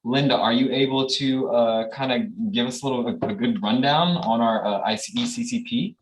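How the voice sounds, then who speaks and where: A man's voice: mid-range voice, animated, fairly quick, a little hiss or hum, low-quality recording. A man hosting a webinar in a casual, friendly way over a video call, chuckling and using loose fillers. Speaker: webinar host.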